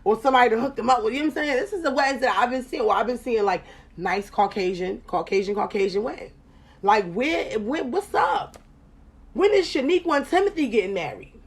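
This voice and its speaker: A woman hosting a casual podcast chat, animated and profane, her voice jumping loud and high when she gets excited. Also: fairly quick, breathy edge. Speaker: podcast host.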